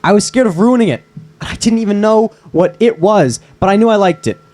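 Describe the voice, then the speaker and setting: A man reading the part of a calm, teasing young man and close friend at a live screenplay table read. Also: high-pitched, a little fast, natural voice. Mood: anxious, confessing.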